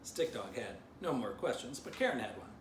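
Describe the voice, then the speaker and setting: A man's voice: mid-range voice, sing-song, fairly quick. Male children's presenter, informal, simple delivery, room echo, noticeable background noise. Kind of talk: children's story reading and casual drawing lesson.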